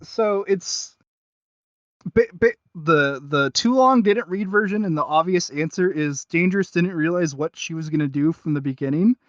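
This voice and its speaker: A man with a slightly high voice chats casually as a podcast host. He gropes for his point in a halting, self-deprecating ramble. The sound is very dry and a little dull. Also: dry recording. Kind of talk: casual review chat.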